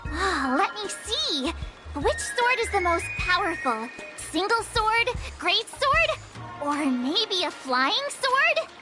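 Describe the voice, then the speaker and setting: A sweet, curious teenage girl apprentice from a video game. The voice is extremely high and cute, with slow, drawn-out earnest phrases. Music plays behind it. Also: big pitch swings, exaggerated voice. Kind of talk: scripted video game voice acting.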